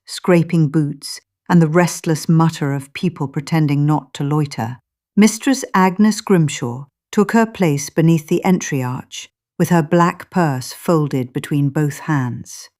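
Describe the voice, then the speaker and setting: A woman narrates a scripted story in a low register. Her delivery is very unhurried and measured, with a literary storyteller's phrasing that gives each clause its own space. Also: darkish tone.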